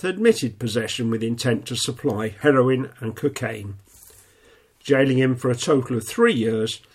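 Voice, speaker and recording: A man reading local news in a plain, steady way, a little snuffly with a stuffy nose. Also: mid-range voice, husky. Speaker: newsreader.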